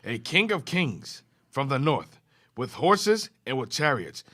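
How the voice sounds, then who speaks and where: A man reading scripture aloud in a class, with a steady, formal reading cadence. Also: mid-range voice, takes it slowly.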